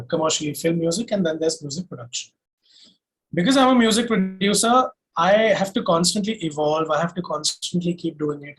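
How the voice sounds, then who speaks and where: High-pitched Indian man, self-assured. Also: low-quality recording. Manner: emphatic, self-assured, methodical point-by-point explaining. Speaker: singer-songwriter and music producer guest. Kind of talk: conversational interview answers.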